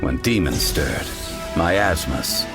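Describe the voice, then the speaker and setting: A man voicing a solemn storyteller who recites an ancient legend in a video game, grave, measured and portentous. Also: rumbling low, lively intonation, vocal fry, full character voice. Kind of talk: scripted game lore narration. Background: prominent music.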